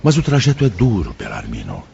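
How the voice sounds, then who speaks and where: A Brazilian actor playing a solemn, kindly spirit mentor in a radio drama, speaking in a measured, formal, sermon-like way. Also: male voice, low voice, huge pitch swings, creaky, mellow, breathy edge, heavily acted. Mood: solemn, exhorting. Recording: dull lo-fi audio with background music.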